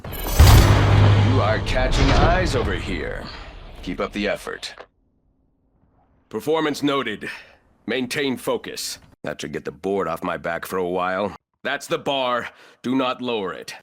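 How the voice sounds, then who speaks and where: An actor playing a ruthless, arrogant corporate executive turned combat operator in a video game, speaking in clipped, commanding, coolly condescending lines. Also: male voice, on the low side, sing-song, slow, long pauses, exaggerated voice. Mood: stern, disdainful. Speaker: playable military shooter operator.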